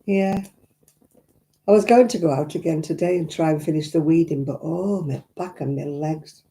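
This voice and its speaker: Older woman, croaky and dark-toned, chatty rambling livestream talk, crackly mic. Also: deep voice, normal speed. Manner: chatty, rambling, greets viewers. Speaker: crafting livestream host. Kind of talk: casual chat to live viewers. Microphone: own simple mic, live stream. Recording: crackly audio.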